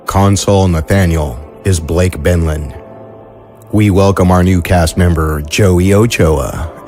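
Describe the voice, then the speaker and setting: A man's voice: sits low, a little mellow. A man reading scripted closing credits for an audio drama as one of its cast.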